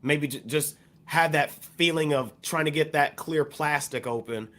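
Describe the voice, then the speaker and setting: Measured American man explaining on a podcast. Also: a little high, fairly bright tone. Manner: measured, explanatory, repeats "you know". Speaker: podcast co-host. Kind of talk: discussion on a hip hop podcast.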